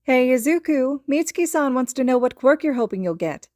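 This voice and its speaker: A woman voicing a cheerful, anxious young mother in an audiobook story, chatting in a light, animated, gossipy way. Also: sits high, a little fast, no room sound, clean studio sound, pitched above the performer's own voice.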